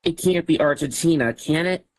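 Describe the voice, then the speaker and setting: A man's voice: a little high, moderate pace, dry recording. A man competing as a contestant on a live game show, eager and playful. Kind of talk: casual game play.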